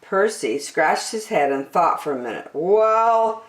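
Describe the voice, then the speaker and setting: A woman reading a children's story aloud expressively, with deliberate, dramatic phrasing. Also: deep voice, big pitch swings, reverberant, low-quality recording.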